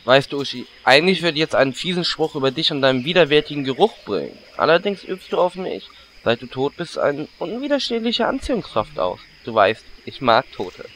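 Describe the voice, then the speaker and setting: Man playing a sleazy, animated orc. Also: fairly high pitch, brisk, a little hiss or hum. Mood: smarmy. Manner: smarmy, taunting. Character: sleazy, mocking, self-absorbed male orc. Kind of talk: parody audio drama.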